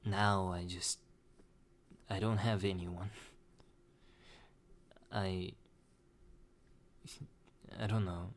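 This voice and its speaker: A young man talking slowly and hesitantly in a personal confessional monologue, with many restarts. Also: sits low, fairly even intonation. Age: young adult. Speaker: vlogger.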